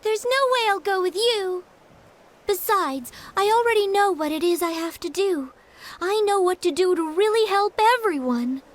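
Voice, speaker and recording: An actress playing a composed young guardian in a game cutscene, speaking firmly and calmly. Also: female voice, very high voice. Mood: resolute. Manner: calm, firm, measured. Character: calm, composed girl guardian. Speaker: forest guardian. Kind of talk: video game cutscene acting.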